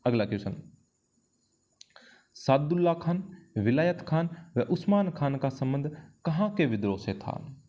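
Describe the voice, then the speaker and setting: A man's voice: on the high side, sing-song, a little slow, pauses often, dark tone. A man teaching an exam-prep lesson, explaining and repeating key phrases for emphasis, recorded on a dull lo-fi microphone. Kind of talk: history lesson going through quiz questions.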